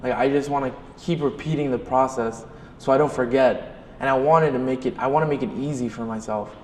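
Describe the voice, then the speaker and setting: A man's voice: medium pitch, steady tone, brisk, darkish tone. Casting: determined student guy, mid voice, roomy echo, faint hiss. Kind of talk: interview answer.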